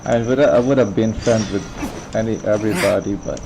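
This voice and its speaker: A man's voice: on the low side, normal speed, creaky. A man chatting casually on a game livestream, musing and rambling as he goes. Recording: noisy stream with game sounds behind.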